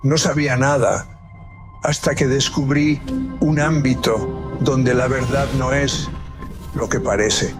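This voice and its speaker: A male host speaks very slowly and deliberately over soft music. He introduces the guest in a dramatic, scripted way. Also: mid-range voice, fairly even intonation. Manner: slow, dramatic delivery.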